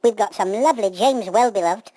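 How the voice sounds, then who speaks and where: A woman's voice: mid-range voice, a little fast, dark tone, dry recording, lightly acted, poor audio. A woman playing a sweet, gullible young fairytale princess in a children's pantomime. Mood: sheepish, motherly.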